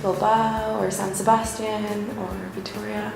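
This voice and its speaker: A woman with a Northern Irish accent, an English teacher chatting in a recruitment interview with soft ums. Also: mid-range voice, little pitch movement, unhurried, vocal fry, background noise. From Northern Ireland. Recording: very echoey room, music bed.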